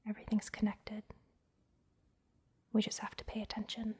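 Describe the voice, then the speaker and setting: A woman softly whispering an ASMR guided meditation, giving gentle, soothing instructions with pauses. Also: mid-range voice, completely flat delivery. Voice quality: soft, breathy whisper. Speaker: massage therapist and meditation guide, host.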